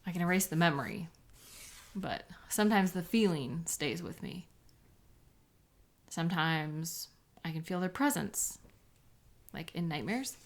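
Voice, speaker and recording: A woman's voice: on the low side, animated, measured pace, pauses often. Woman acting a bitter, sarcastic sketch artist. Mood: cold, bitter. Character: embittered young woman police sketch artist with memory-erasing powers, contemptuous. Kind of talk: dramatic audio roleplay monologue.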